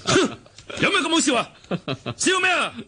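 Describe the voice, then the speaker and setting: An actor playing an arrogant, crude military officer in a radio drama, blustering and swaggering with a Hong Kong Cantonese accent. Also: male voice, very high voice, sing-song, moderate pace, pushed and bright, heavily acted. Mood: belligerent.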